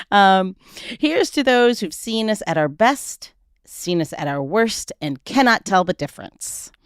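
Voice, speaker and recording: A woman's voice: mid-range voice, lively intonation, slow pace, smooth, dry recording, studio quality. A woman hosting a podcast in a casual, chatty way, laughing often. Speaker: podcast host.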